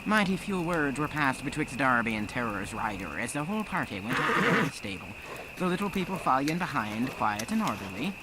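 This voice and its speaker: Very animated male storyteller. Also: medium pitch, brisk, very noisy. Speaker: narrator. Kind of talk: animated folk tale narration.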